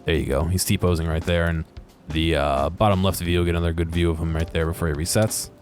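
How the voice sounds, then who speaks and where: A man giving casual, unscripted commentary over game footage in a halting, explanatory way, correcting himself as he goes. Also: deep voice, fairly even intonation, soft background music. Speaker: video creator narrating game footage.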